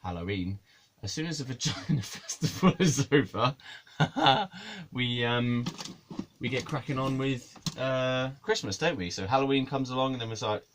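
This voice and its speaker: A man talking straight to camera in a loose, digressive comic ramble. He jokes, swears and chuckles at his own asides, and his voice sits at an ordinary middle pitch for a man. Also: normal speed, a little hiss or hum. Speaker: musician and video maker.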